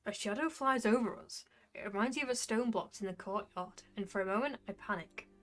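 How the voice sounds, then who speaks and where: A woman narrating a visual novel as a wry, reflective young male jester, in measured storytelling. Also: mid-range voice, close to an everyday voice. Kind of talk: visual novel first-person narration read aloud.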